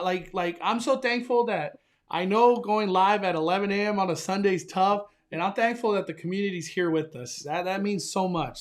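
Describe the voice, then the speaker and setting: A young man hosting a casual live stream, excitable and enthusiastic, often stumbling and restarting his sentences. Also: sits high. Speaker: livestream host.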